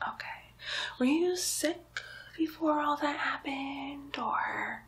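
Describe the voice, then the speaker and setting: A woman's voice: high-pitched, slow pace, fairly bright tone. A woman playing a chatty, cheeky demon nurse in a whispered ASMR roleplay, coaxing and playfully condescending. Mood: chatty, mildly condescending. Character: chatty, cheeky female demon nurse greeting souls in hell. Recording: faint background noise, close whispered recording.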